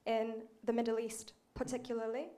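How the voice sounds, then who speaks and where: A woman's voice: on the high side, level tone, moderate pace, warm tone. A young woman from Turkey, a researcher in the audience, asking a chatty question with frequent "um"s.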